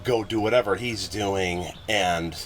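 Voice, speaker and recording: A man with a gravelly voice talking casually and ramblingly as a musician guest on a podcast. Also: low-pitched, a little slow. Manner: rambling, colloquial, occasional swearing. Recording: clear background noise.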